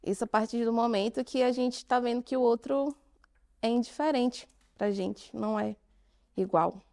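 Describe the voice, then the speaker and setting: A woman with a Brazilian Portuguese accent speaks to a conference audience in a somewhat high voice. She draws listeners in with direct questions and lands her points with emphatic pauses. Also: darkish tone. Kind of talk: interactive conference talk.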